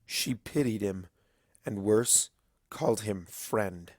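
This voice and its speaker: A man narrating dramatic fiction in a grave, deliberate storytelling style, with weighty pauses. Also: fairly low pitch, darkish tone, no echo, studio quality.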